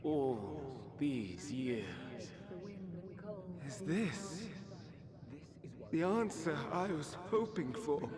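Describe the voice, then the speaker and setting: An actor playing an earnest, quietly resolute man in a video game. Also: fairly high pitch, animated, very slow, long pauses. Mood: wistful. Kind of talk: scripted video game voice acting. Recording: long reverb with crowd-like background.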